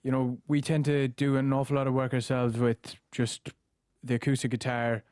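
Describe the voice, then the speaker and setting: A young Irish man, around nineteen, with a mid-pitched voice. He chats casually as a band member in an interview, recorded very dry in a studio. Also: little pitch movement, moderate pace, darkish tone, clean tone, no echo. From Ireland. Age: late teens. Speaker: boy band singer, interview guest. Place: radio studio. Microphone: studio microphone.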